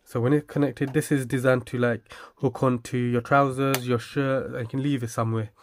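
Man giving a halting, casual product review. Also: mid-range voice, little pitch movement, slight background noise.

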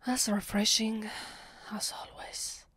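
A woman with an Italian accent playing a haughty, centuries-old vampire mistress in an ASMR roleplay, breathy and often near a whisper, imperious and dramatic. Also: mid-range voice, level tone, takes it slowly, a bit bright, no echo. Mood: disdainful. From Italy.

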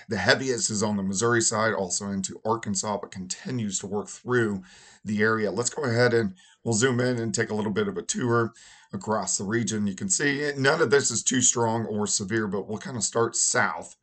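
A hoarse man in his forties, a meteorologist giving a relaxed, conversational weather forecast from a home office. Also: on the low side, normal speed.

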